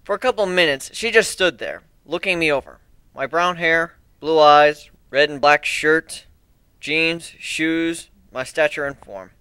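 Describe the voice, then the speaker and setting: A man reading a story as an amateur narrator, telling it in the first person with a theatrical, expressive delivery. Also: on the high side, a little slow, clean tone, no echo.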